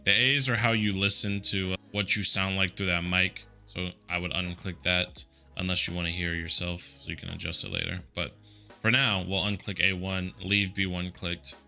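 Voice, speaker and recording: A man with a deep voice walking through software settings in a relaxed, conversational way, with a headset mic. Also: moderate pace, gravelly, soft background music. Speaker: tutorial host. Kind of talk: casual screen-recorded software tutorial.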